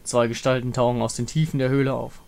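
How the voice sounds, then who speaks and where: A man's voice: mid-range voice, level tone, fast, gravelly, darkish tone. A man giving scripted dramatic narration, reading out a fantasy game story.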